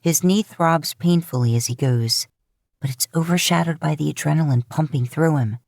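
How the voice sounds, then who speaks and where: A woman's voice: sits low, normal speed, gravelly, a little mellow, no room sound, clean studio sound. A woman reading scripted fan fiction aloud as a story narrator. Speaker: podfic narrator.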